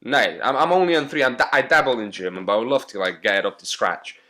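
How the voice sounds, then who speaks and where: A man's voice: mid-range voice, expressive, fast talker, pushed and bright. A man hosting a podcast, chatting casually and joking, with long rambling questions. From Hungary. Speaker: podcast host. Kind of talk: casual interview chat.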